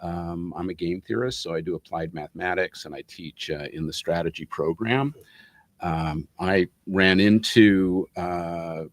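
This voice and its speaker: A man speaking casually as a guest co-host, with halting, hesitant phrasing. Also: deep voice, steady tone, dry recording. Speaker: podcast guest co-host, management professor. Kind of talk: casual conversational introduction.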